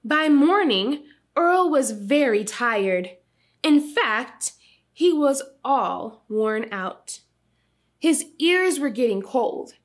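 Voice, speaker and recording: A young adult woman hosting an expressive, teacherly children's read-aloud, with asides that prompt listeners. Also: sits high, very slow. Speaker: storytime host and teacher.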